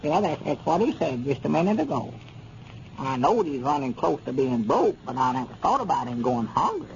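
A high-pitched, fussy old country storekeeper with a folksy Arkansas hill accent. He is literal-minded and crotchety with a soft heart, speaking in a muddled way. It is a dull, noisy 1930s radio comedy recording. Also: very animated, normal speed, vocal fry. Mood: kindly, folksy. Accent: rural Southern US, Arkansas hill country. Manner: folksy, flustered, muddled stammering.

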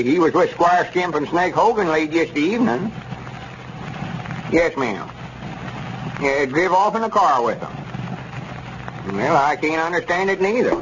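Old country storekeeper, rural Southern drawl, flustered, stammering, lively pitch, muffled 1930s radio serial. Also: male voice, background noise. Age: older. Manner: hesitant, folksy, stammering.

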